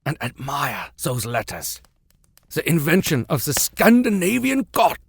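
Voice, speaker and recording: A man voicing an excitable, imperious old professor in a storybook, declaiming and exclaiming. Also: on the high side, a little slow, vocal fry, breathy edge, no echo, clean studio sound, voice pushed up, heavily acted. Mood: lofty, scolding.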